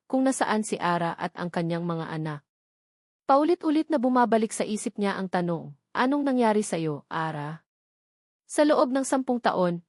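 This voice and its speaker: A woman reading a romantic drama aloud, in a steady, measured way with dramatic pauses. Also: mid-range voice, no room sound, clean studio sound. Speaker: narrator. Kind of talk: scripted storytelling narration.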